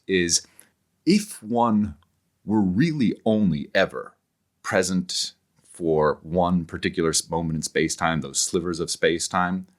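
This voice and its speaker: A man speaking as an interview guest, explaining ideas conversationally like an animated storyteller who acts out paraphrased quotes. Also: sits low. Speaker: interview guest, author and scholar.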